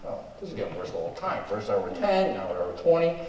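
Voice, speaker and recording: A man's voice: on the high side, big pitch swings, quick pace, a little mellow. A man playing a befuddled, exasperated straight man in vintage comic banter, with bewildered, protesting retorts. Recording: roomy, distant microphone, faint noise.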